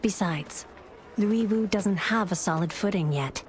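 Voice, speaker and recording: A woman's voice: mid-range voice, a little slow, slight background noise, lightly acted. A woman playing a thoughtful, idealistic adviser and companion to a hero in a video game, speaking in a reflective, composed way. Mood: thoughtful, wry. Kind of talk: dramatic game narration and dialogue.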